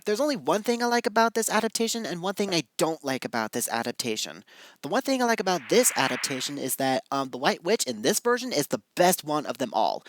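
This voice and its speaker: A video reviewer of unclear sex giving informal, opinionated commentary to viewers in a halting, emphatic way. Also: mid-range voice, fairly bright tone, no room sound.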